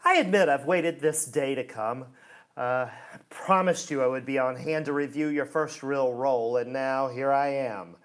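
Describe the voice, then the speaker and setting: An actor in a radio play playing a snide theater critic, condescending and mock-polite, with a silky drawl. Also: male voice, medium pitch, moderate pace, lightly acted. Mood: smugly taunting. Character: smug, snide male theater critic, silkily gloating.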